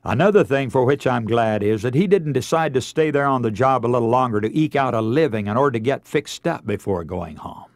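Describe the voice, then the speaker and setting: A man's voice: mid-range voice, expressive, brisk, few pauses, dry recording. An American man preaching a radio sermon as a dramatic, emotive story, with theatrical delivery and rhetorical questions.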